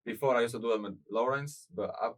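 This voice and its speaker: A young man with an Albanian accent who speaks English as a second language, talking casually as a guest in a radio interview, soft-spoken, often trailing off and repeating words. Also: mid-range voice, fast, recorded from a distance. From Greece and Albania. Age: young adult. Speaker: event promoter and DJ guest.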